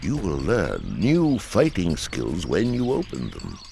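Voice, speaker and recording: Deep, gravelly old mentor. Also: male voice, huge pitch swings, slow pace, darkish tone, slight background noise, full character voice. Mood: grave, instructive.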